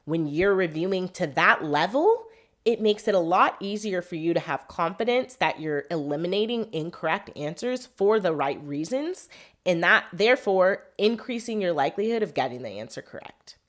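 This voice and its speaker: A woman's voice: on the low side, lively intonation, moderate pace. Casual Southern Californian woman tutoring. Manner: conversational, uses "like" and acts out questions to herself. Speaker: MCAT tutor. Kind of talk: unscripted teaching monologue.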